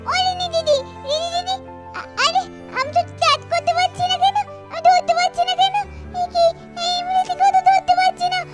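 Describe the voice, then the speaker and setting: A woman's voice: extremely high pitch, little pitch movement, brisk, soft background music. A woman voicing the bewildered, innocent ghost of a little girl in a doll-story cartoon, pleading childishly and repeating her calls.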